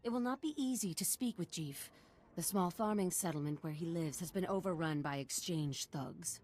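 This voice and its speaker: Woman playing female desert guide, slightly low, formal, deferential, mysterious, eccentric, video game storytelling. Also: normal speed, a little mellow, natural voice. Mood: reverent intrigue. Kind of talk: scripted video game acting.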